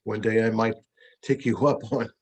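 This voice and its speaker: A man's voice: on the low side, fairly even intonation, normal speed, breathy edge. A man hosting a video call interview with doctors, effusive and full of repeated compliments.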